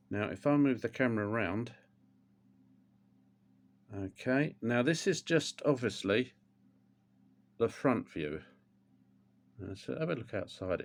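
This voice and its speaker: An older man, chatting casually through a how-to demonstration at home, rambling with plenty of ums and restarts. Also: medium pitch. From England. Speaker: motorcycle vlogger.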